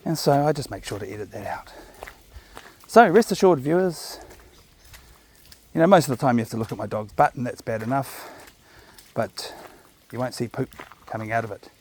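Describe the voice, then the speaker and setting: A man's voice: mid-range voice, moderate pace, darkish tone. A man with a New Zealand accent, chatting casually to viewers while walking his dog on outdoor park trails, recorded on a chest-mounted camera. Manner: laid-back, chatty, jokey asides. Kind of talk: casual rambling monologue.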